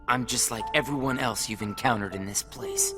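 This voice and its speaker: A man playing a brooding, bitter young hooded rival in a video game cutscene, with a grave, deliberate delivery. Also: medium pitch, close to an everyday voice. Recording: loud music behind the voice.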